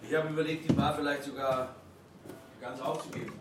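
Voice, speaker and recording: An actor playing a weary, caring and worried bar owner in a naturalistic radio drama. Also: male voice, mid-range voice, flat delivery, brisk, roomy sound, very noisy, close to an everyday voice. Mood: weary, hesitant.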